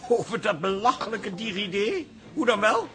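A sarcastic café owner and husband in a radio comedy. His voice is forceful and highly animated, full of blunt mockery and exasperation. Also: normal speed. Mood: exasperated.

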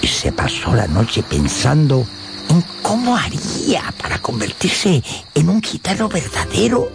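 An actor playing a dramatic radio narrator of an old tale, given to confiding asides to the listener. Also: male voice, on the high side, huge pitch swings, measured pace, gravelly, exaggerated voice. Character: animated male storyteller of an old tale. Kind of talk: theatrical radio storytelling narration. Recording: music behind the voice. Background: music.

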